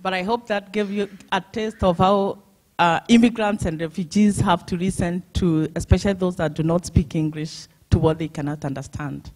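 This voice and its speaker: A woman's voice: on the low side, steady tone, normal speed, darkish tone. A woman from Kenya with a Kenyan accent, a parent introducing herself on a conference panel.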